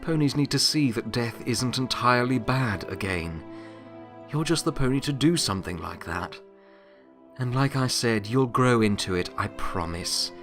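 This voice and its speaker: A man voicing a centuries-old pony who was once Death, gentle and wry, speaking in a halting, rueful, reassuring way in an audio story. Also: medium pitch, animated, over music, natural voice. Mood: gentle reassurance. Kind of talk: dramatic audiobook character acting.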